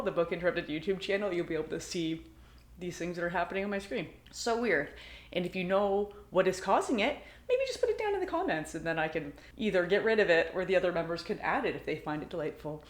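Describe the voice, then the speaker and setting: Chatty woman with a low voice, roomy sound. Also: expressive, fast. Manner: chatty, laughs often. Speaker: podcast book club member. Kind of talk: casual chat and solo recap. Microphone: computer mic on video call. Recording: roomy echo.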